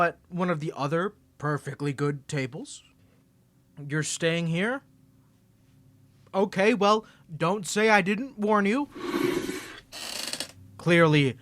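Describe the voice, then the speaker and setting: A smug, teasing college rival, played by a young man in an ASMR roleplay. His voice is bright and lively, his delivery slow and sarcastic. The sound is dry and close to the mic. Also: a little high, dry recording.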